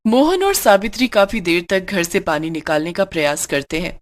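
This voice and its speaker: A woman's voice: on the low side, very animated, moderate pace, slight background noise. A woman narrator reading a scripted story for a cartoon.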